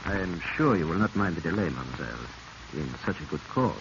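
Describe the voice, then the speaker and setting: Shrewd, courteous foreign official, deep voice, polite formal delivery, dull and noisy old radio sound. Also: male voice, lively intonation, creaky. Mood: commanding. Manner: formal, polite, measured phrasing. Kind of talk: 1940s radio acting.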